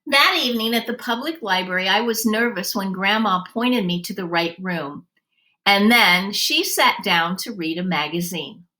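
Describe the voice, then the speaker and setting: A woman reading a children's story aloud, narrating expressively and deliberately and acting out the dialogue. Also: mid-range voice. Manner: animated, deliberate, acts out dialogue. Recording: roomy, distant microphone.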